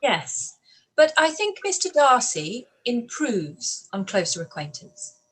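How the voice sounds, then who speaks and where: An earnest, sharp-witted young woman, played by a woman in a live-read radio play. Her voice sits high and she makes her points in an articulate, pressing way. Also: sing-song, slow pace, husky, exaggerated voice, low-quality recording. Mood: cool.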